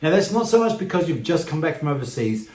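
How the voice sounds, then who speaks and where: A man's voice: a little high, sing-song, fast talker, darkish tone. A man in his forties with a New Zealand expat accent, talking emphatically and directly to his viewers as a vlog host. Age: 40s. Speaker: YouTube channel host. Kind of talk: direct-to-camera vlog talk. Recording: echoey, roomy sound.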